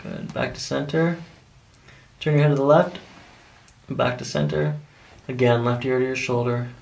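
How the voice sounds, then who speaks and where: A man's voice: medium pitch, fairly even intonation, normal speed, vocal fry, a little mellow. A middle-aged man working as a chiropractor, giving a patient short, soothing step-by-step instructions during hands-on treatment. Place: small treatment office, indoors. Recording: faint noise behind voice.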